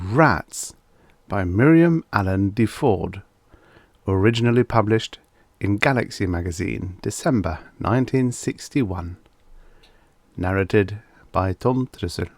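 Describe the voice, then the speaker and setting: A man's voice: on the low side, very animated, takes it slowly, long pauses, no room sound. A man narrating vintage science fiction stories in a scripted audiobook style.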